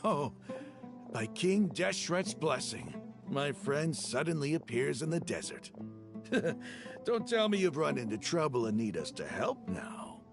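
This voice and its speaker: A hearty desert mercenary from a video game. He speaks in a gravelly, animated voice with grand, flowery turns, and plucked music plays clearly underneath. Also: on the high side, unhurried, heavily acted. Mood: hearty, amused. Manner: grandiose, expressive. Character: hearty male desert mercenary, warm, theatrical, flowery-spoken.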